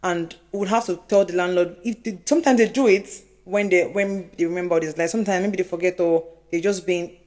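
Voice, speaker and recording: A young woman, co-hosting a podcast and telling stories in a lively, laughing way. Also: lowish voice, brisk, few pauses. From Nigeria. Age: young adult. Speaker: podcast co-host. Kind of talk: casual chat.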